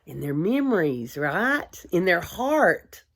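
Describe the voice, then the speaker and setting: A woman, a kindergarten teacher, reading a storybook aloud to young children in a gentle, expressive way. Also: lowish voice, a little hiss or hum. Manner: gentle, hushed, expressive storytelling with deliberate pauses; addresses young listeners with simple questions.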